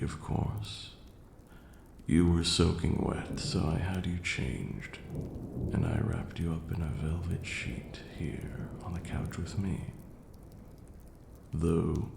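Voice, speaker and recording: A man's voice: very deep voice, creaky, echoey room, background noise, exaggerated voice. A man playing a haughty but caring vampire lord in an ASMR roleplay, speaking formally and sternly.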